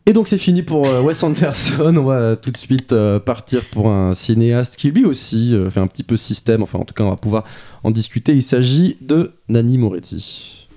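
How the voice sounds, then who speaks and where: A man's voice: mid-range voice, expressive, moderate pace, mellow, slight background noise. A man hosting a film discussion podcast who hesitates in debate and reads his scripted review fluently. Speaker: podcast host.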